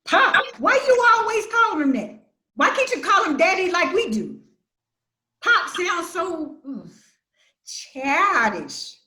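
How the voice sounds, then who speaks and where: An actress playing a brash, resentful grown sister in a play reading, with a sassy, combative, slangy delivery. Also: female voice, extremely high pitch, big pitch swings, slow, pushed and bright, reverberant, heavily acted. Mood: needling, resentful. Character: brash, defensive, resentful grown sister from Detroit, quick to snap.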